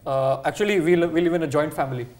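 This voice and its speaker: A man's voice: fairly high pitch, racing, darkish tone. A young man from India with an Indian English accent, a native Kannada speaker, chatting hesitantly as a guest on a TV studio set. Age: young adult. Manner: hesitant, thinks aloud with many uh's. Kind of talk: casual studio chat. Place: TV studio set, seated on sofa.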